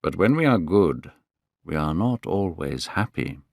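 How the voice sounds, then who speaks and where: A man voicing a worldly, teasing gentleman in a storybook reading, slow and musical in his delivery. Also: low voice, very animated, gravelly, dry recording, studio quality, voice pushed down, exaggerated voice. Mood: languid, teasing. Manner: slow, drawling, cynical.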